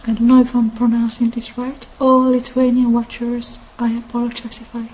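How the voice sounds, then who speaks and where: A woman hosting an informal ASMR-style talk in an unhurried, halting delivery. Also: fairly high pitch, completely flat delivery, a little mellow, slightly rough, poor audio. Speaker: video channel host.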